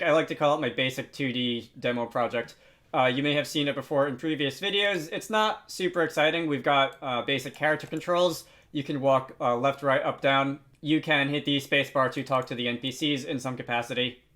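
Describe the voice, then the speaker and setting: A man narrating a software tutorial in a casual, informal way, pausing often as he explains. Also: on the high side. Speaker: tutorial host.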